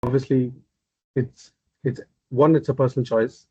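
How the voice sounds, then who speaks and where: Flat-voiced man, measured and explanatory. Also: medium pitch, slightly rough, no echo. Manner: measured, explanatory, repeats himself. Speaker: psychiatrist. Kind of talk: podcast interview answers. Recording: dry, dull, muffled audio.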